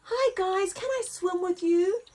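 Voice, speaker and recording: A woman's voice: extremely high pitch, unhurried, darkish tone, voice pushed up. A woman voicing an eager, hopeful little boy giraffe who pleads to play, in a children's storybook reading.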